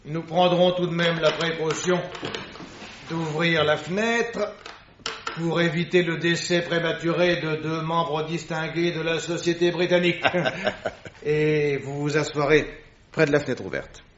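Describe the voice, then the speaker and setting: An actor playing a self-assured, analytical gentleman detective with dry wit in a French radio drama, speaking deliberately and formally. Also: a little high, fairly even intonation, muffled recording, a little hiss or hum. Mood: wry, resolute. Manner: deliberate, precise, formal phrasing.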